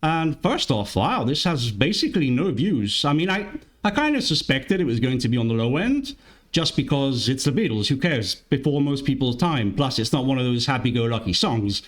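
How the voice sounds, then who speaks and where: This is a man with Italian as his first language, hosting a music reaction channel with relaxed, chatty commentary. His pitch swings widely as he muses through his thoughts. He often breaks off and restarts sentences. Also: moderate pace. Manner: chatty and musing, with frequent restarts.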